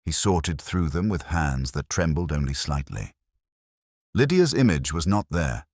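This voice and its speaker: A man reading a scripted first-person detective story in a measured, reflective, literary manner. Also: extremely low pitch, sing-song, a little mellow, no echo, studio quality.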